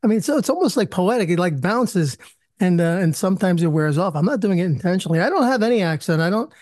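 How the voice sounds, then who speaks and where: A man's voice: high voice, lively intonation, fast, a little mellow, no echo. A man hosts a live stream, opening with prayer before sliding into easy, rambling small talk. He is self-deprecating and friendly. The sound is dry and close, with no room around him. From US Northeast. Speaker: host. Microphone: video call.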